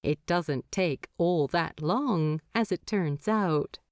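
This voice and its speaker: A woman narrating a fanfiction story in an expressive, measured storybook reading. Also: fairly low pitch, no echo, clean studio sound.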